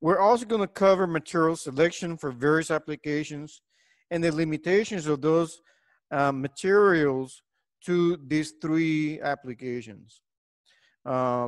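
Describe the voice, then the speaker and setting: A middle-aged man with a Puerto Rican accent, whose first language is Spanish, delivers an engineering lecture. His voice is slightly high and cleanly resonant, with a dry, close sound. He speaks haltingly, pausing often as he goes. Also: no echo. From Puerto Rico. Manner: halting, frequent hesitations and pauses.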